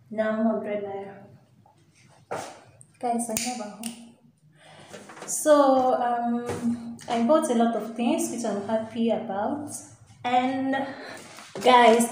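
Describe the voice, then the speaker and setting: A young Nigerian woman talks chattily straight to her viewers, filming herself on a camera held close. Her voice rings around a bare, very echoey bedroom, with a faint hiss of noise behind it. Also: on the high side. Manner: chatty, lively, addresses viewers directly. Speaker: vlogger. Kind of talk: casual vlog talk to camera. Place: small bedroom indoors.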